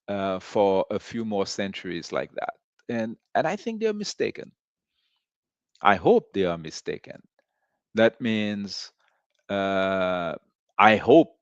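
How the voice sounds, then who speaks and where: A man from Haiti hosting a commentary monologue, speaking deliberately with frequent pauses and fillers. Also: on the low side, no room sound, dull audio. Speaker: host of a commentary show. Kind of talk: slow reflective commentary monologue.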